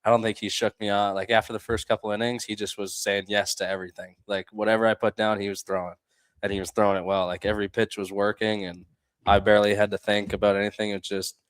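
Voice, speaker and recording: A man's voice: on the low side, little pitch movement, fast talker, clean tone, dry recording. A young man chatting as an interview guest, an athlete giving plain-spoken, laid-back answers. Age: young adult. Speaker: college baseball catcher, interview guest. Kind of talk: casual interview chat.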